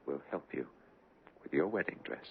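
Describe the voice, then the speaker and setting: An actor playing an anguished, insistent young fiancé in 1970s radio drama, intense and swinging from forceful to hushed. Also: male voice, a bass voice, expressive, normal speed, gravelly, full character voice. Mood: hushed, pleading. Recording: tinny, noisy 1970s radio recording.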